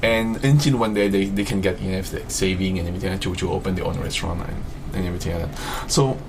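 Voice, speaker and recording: A man from France, a guest in an interview, speaking casually and haltingly, with many fillers and restarts. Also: deep voice, steady tone, creaky. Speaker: interview guest, agency CEO. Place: room in Bangkok. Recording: noisy background ambience.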